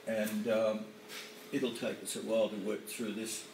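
A middle-aged man, a winemaker, explaining casually to camera in a winery barrel room, relaxed and full of ums and uhs. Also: on the low side, a little slow, slight background noise. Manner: relaxed, filler-heavy, hesitant. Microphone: camera mic from a few metres off. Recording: echoey cellar, distant camera mic.

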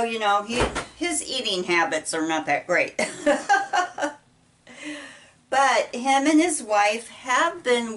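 A middle-aged woman with a Midwestern American accent, chatting casually while she folds laundry, talking haltingly with many ums and restarts. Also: fairly high pitch, fairly bright tone, slight background noise. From United States. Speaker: vlogger. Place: small indoor laundry room. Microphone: camera set apart from her. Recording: voice a bit far from mic.

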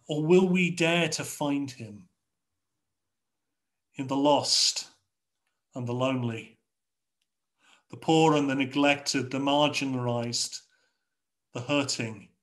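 A middle-aged man with an English accent preaching a sermon from a home study over a video call, in a measured, rhetorical way with repeated phrases. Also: medium pitch, a bit bright. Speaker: pastor. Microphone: video call webcam.

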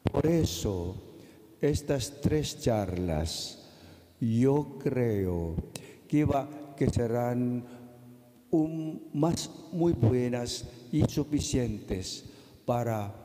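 Filipino accent priest, middle-aged guy, super slow careful preaching, mellow dark voice, big echoey church. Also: mid-range voice, lively intonation. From Philippines. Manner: slow, deliberate, careful delivery with pauses. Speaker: priest giving a Lenten mission talk. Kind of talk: sermon. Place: large church, at the pulpit. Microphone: pulpit microphone. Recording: long reverb of a large church.

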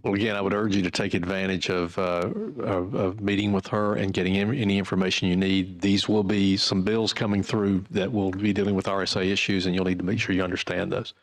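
A man with a lowish voice chairs a state legislative committee, talking in a folksy, measured, slightly repetitive way. He speaks into a desk microphone in a large committee room. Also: little pitch movement.

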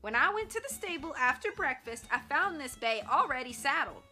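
A woman voicing a defensive red-haired cowboy ranch hand with an American Western drawl, speaking loudly and indignantly. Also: very high voice, sing-song, unhurried, faint music behind, pitched above the performer's own voice, full character voice.

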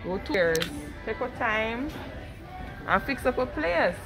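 A woman's voice: fairly high pitch, animated, slow pace, over music. A Jamaican woman in casual, chatty conversation while vlogging a shopping trip. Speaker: family vlogger. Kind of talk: casual shopping vlog chat.

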